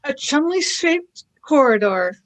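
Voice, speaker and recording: A woman's voice: sits high, very animated, slow pace, full character voice. A woman playing an eager, nervous young female companion in an amateur radio play. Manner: wondering, anxious questions.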